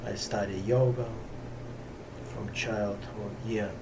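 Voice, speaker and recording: A middle-aged man, a yoga teacher talking unscripted to camera in a small home ashram. He speaks haltingly, pausing often to find words, with many fillers. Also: on the low side, creaky. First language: Russian. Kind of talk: slow unscripted talk to camera. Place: small hot room in a Brooklyn home ashram. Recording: camera from a distance with steady background noise.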